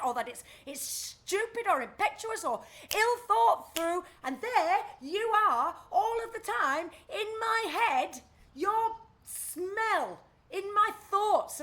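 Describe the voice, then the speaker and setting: A woman playing a brusque, socially awkward, overwhelmed woman in a naturalistic audio play, speaking bluntly in halting, repeated phrases. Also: very high-pitched, bright, forceful tone, exaggerated voice. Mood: distressed, overwhelmed. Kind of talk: naturalistic audio drama acting.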